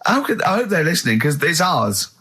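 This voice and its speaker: A man's voice: on the high side, very animated, fairly quick, pushed and bright, husky. A man with an English accent hosting a radio show with casual, comic banter in a studio.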